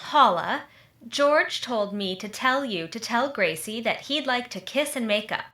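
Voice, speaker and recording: Woman playing bright, animated go-between friend. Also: fairly high pitch, a little slow. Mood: dutiful. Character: obliging go-between friend in a radio comedy. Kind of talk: 1940s radio comedy acting.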